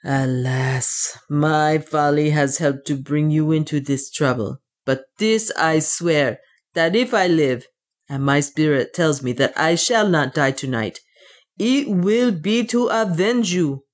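A woman's voice: deep voice, slow. A woman voicing a loyal, boastful male dwarf warrior in an audiobook, with a grim, emphatic manner. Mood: sorrowful, vengeful. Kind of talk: audiobook character dialogue.

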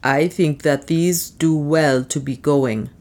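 A woman voicing a wary, plain-spoken man in an audiobook, giving grave and measured counsel. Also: fairly high pitch, fairly even intonation, darkish tone. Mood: grave caution. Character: wary, plain-spoken male ally urging retreat. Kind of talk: audiobook character speech.

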